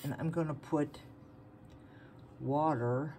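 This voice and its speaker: A woman's voice: sits low, fairly even intonation, takes it slowly, mellow. A plain-spoken, folksy woman giving casual home-video narration on a noisy phone recording. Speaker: farm vlogger.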